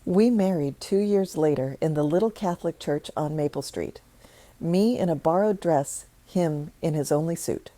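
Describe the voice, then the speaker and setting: A woman reading a scripted first-person story in a measured, reflective way. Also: sits low, mellow, no echo.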